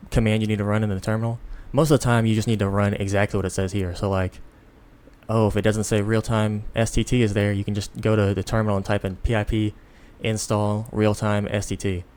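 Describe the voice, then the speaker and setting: A man giving a casual, unscripted software tutorial in an informal, halting, step-by-step way, with background noise. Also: lowish voice. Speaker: tutorial presenter.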